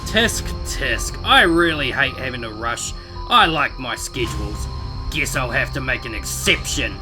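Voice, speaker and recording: Man playing a smug, slow-talking villainous fighter. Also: medium pitch, lively intonation, over music, close to an everyday voice, rough recording. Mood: mocking. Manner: mockingly polite, theatrical. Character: smug menacing young male gang fighter, taunting and sadistic. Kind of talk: acted visual novel dialogue.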